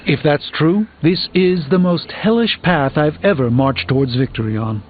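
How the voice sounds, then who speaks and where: A proud, grave male sorcerer from a video game, played by a man, burdened by failure. His voice sits a little high and his speech is formal and dramatic. It comes through thin and phone-like, with music underneath. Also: moderate pace, close to an everyday voice. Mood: anguished, bitter. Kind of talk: video game character dialogue.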